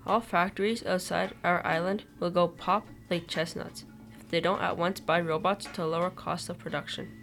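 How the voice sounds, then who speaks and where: A woman's voice: lowish voice, steady tone, normal speed, fairly bright tone, soft background music. A teenage girl playing an assertive, profit-minded male factory executive in an amateur radio play. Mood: businesslike.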